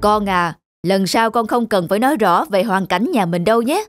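A man's voice: high voice, expressive, moderate pace, faint music behind. A man voicing a proud, instructing village father in a folk-tale cartoon. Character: village father, proud, embarrassed by poverty, instructing his son.

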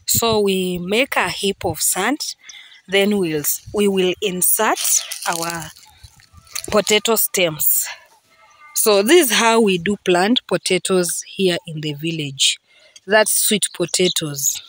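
A middle-aged woman with a Kenyan English accent, slowly explaining farm work step by step in an outdoor vlog filmed on a handheld phone. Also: mid-range voice. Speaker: vlogger. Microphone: handheld phone camera.

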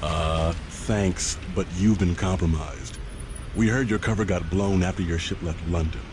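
Deep-voiced brusque federal agent. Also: male voice, a little slow, background noise. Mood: businesslike. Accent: American. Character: brusque, businesslike male American federal agent. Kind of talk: video game cutscene acting.

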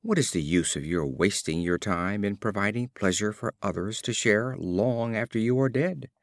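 A man's voice: lowish voice, moderate pace, dry recording, studio quality, close to an everyday voice. A man voicing a scornful young man mocking his elder in a storybook fable. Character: mocking young men scoffing at an old man.

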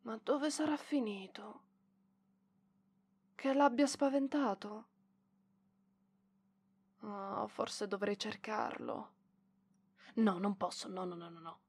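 A woman's voice: mid-range voice, slow, gravelly, pauses often, a little mellow, husky, full character voice. A woman voicing a proud young librarian in an ASMR roleplay, defensive and bashful, with hesitant stammering. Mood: worried, conflicted. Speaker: voice actress.

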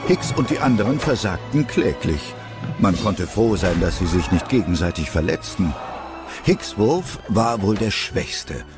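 A man's voice: deep voice, expressive, measured pace, music in the background. A man narrating a scripted story as the narrator of an audio drama.

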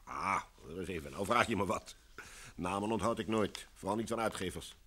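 An actor playing a brash, jovial film director in a Dutch radio play, talking bluntly and informally. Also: male voice, sits low, moderate pace, sharp tone, husky, full character voice. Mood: chatty, blunt.

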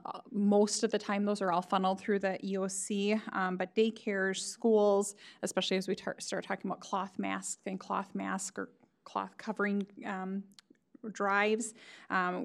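A woman public health official giving a board meeting briefing over a video call, hesitant with many fillers. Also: medium pitch.

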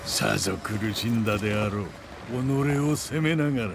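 An actor playing a merciless, haughty samurai warlord villain in a video game, with menacing archaic speech. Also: male voice, lowish voice, normal speed, vocal fry, noisy background. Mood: scornful, mounting fury.

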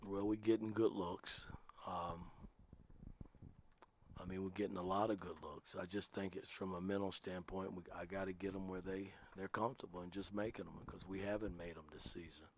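A man in his sixties, a basketball coach answering postgame questions in a measured, plain-spoken, self-critical way at a table microphone in a press room. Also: sits low, little pitch movement, slight background noise. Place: press room with sponsor backdrop.

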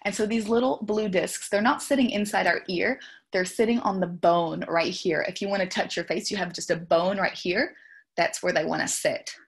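A woman's voice: lowish voice, normal speed. A young woman from New Zealand with a faded New Zealand accent, presenting a webinar as a speech therapist over a video call in a small room at home, in a friendly, chatty and explanatory way. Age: young adult.

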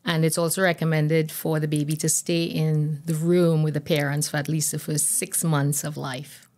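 An older woman speaks in a notably low voice. She explains things in a measured, conversational way, as a medical guest answering interview questions. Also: little pitch movement, darkish tone. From Trinidad and Tobago. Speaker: guest pediatrician. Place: small indoor podcast studio. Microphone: boom-arm podcast microphone.

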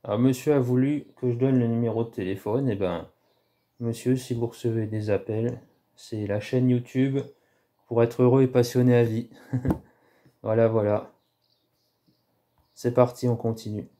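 Man, 30s, casual home phone vlog; very dark, warm tone, halting and rambling delivery. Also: medium pitch, steady tone. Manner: rambling, halting, many restarts and fillers. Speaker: YouTuber doing a prank call. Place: small room indoors at home. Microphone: phone camera held low at arm's length.

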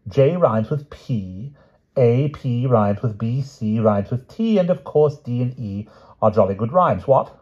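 A dithering, old-fashioned king from a storybook, eager and blustering with upper-class tics. The voice is pitched high and a little husky, on dull lo-fi audio. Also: lively intonation, a little slow, a little mellow. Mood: earnest, hopeful.

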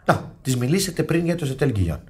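A man's voice: medium pitch, lightly acted. An actor playing a flustered, irritable husband and father in a radio comedy, repeating himself in exasperation. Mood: embarrassed. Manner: exasperated, repetitive, excitable. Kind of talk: theatrical radio comedy acting.

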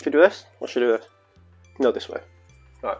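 A man's voice: mid-range voice, lively intonation, fast, darkish tone, husky, dull audio, faint music behind. A young man with a Northern English accent, chatting playfully to camera in a vlog, rambling with fillers and asides, on a lapel mic in a small room. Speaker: vlogger. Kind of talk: casual chat to camera. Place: small room at home, later outdoors in a park.

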